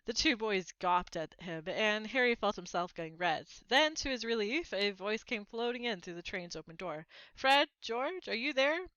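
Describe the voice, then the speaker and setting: A woman narrates a children's book aloud with expression. Her voice is bright and forward at a middling pitch. It is recorded close and dry, with slightly dulled sound. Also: expressive, normal speed, few pauses, no echo, dull audio.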